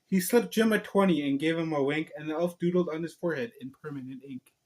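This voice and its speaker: Higher-pitched man reading a story casually. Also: fast, a little mellow. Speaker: online video host and storybook reader. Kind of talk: casual vlog talk and amateur read-aloud.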